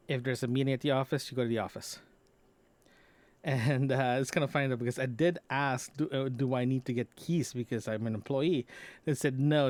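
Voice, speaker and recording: A man's voice: mid-range voice, a little mellow, no echo. A man recording a casual audio diary, talking in a rambling, conversational way and often correcting himself as he goes. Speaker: podcast host.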